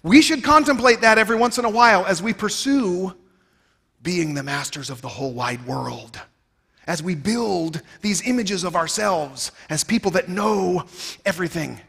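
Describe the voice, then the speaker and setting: A man in his thirties giving a keynote speech on stage, emphatic and rhetorical, swinging between loud and quiet phrases, on a headset mic. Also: high-pitched, moderate pace, creaky, breathy edge. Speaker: keynote speaker. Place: stage of a large conference hall. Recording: reverberant large hall.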